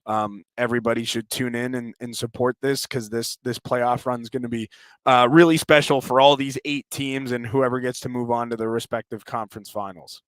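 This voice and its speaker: A man speaks as an interview guest. His voice is clean and resonant with a flat, steady melody, recorded dry. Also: medium pitch, normal speed, dry recording. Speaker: hockey play-by-play announcer, interview guest. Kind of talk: interview talk.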